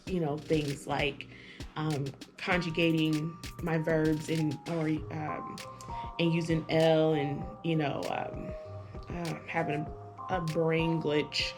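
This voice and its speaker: Woman vlogging casually, kinda low voice, lots of ums and restarts, music playing under her. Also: little pitch movement, slow pace. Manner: chatty, hesitant, many fillers and restarts. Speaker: language-learning vlogger. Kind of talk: casual review talk to camera.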